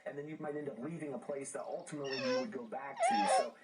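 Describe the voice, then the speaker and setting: A man's voice: fairly high pitch, wildly swooping pitch, fast, heavy background noise. A middle-aged man giving conversational interview answers as an expert guest. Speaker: storm chaser and meteorologist guest. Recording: distant voice under loud music. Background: loud music with other voices mixed in.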